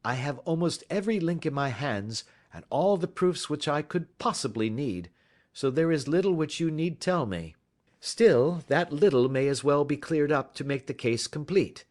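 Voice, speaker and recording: A man voicing a confident detective who speaks in a polished, measured, sweetly ironic way. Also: on the high side, expressive, a little mellow, voice pushed up, close to an everyday voice. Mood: coolly accusing. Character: confident, genteel male detective, quietly amused. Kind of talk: audiobook character voice.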